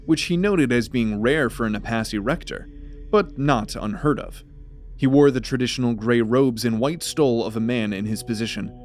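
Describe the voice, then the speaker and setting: Male fantasy narrator over faint music. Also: medium pitch. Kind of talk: scripted fantasy story narration.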